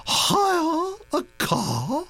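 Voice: medium pitch, wildly swooping pitch, unhurried, no room sound, voice pushed up, heavily acted. A reader voicing an imperious elderly duchess who talks in a commanding, theatrical way, for an audiobook. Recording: dry recording with mains hum.

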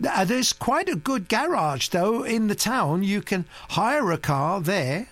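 Calm detached young woman read by a narrator, offhand, raised pitch, slow, dry sound. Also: dry recording, heavily acted. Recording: dry recording with mains hum.

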